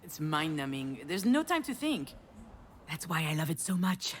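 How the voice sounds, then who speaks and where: Sardonic guarded young woman for a story game, kinda low voice, super expressive, like she's confiding her thoughts. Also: moderate pace, husky, exaggerated voice. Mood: wry, confiding. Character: guarded, wry young woman, a failed screenwriter. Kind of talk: visual novel voice acting with inner monologue.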